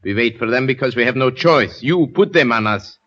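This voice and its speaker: An actor playing a sullen, hot-tempered criminal in a radio drama, curt and threatening, on a lo-fi recording. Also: male voice, medium pitch, lively intonation, a little fast, a bit bright. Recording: dull, lo-fi old radio recording.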